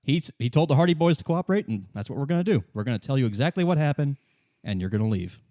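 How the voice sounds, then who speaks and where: A man's voice: medium pitch, expressive, rapid-fire, warm tone, dry recording, clean studio sound, lightly acted. A man voicing a no-nonsense female union lawyer in a tabletop game, matter-of-fact and controlling. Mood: brisk, firm.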